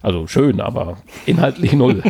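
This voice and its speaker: A man's voice: mid-range voice, very animated, moderate pace, dark tone, dry recording. An older man co-hosting a podcast in casual chat, hesitant with frequent fillers and chuckles. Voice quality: gravelly, husky. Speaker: podcast co-host.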